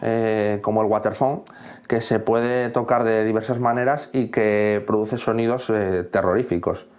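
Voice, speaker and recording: A man from Asturias, a composer reflecting on his own work in an interview and pausing to search for words. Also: fairly low pitch, fairly even intonation, darkish tone. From Asturias, Spain.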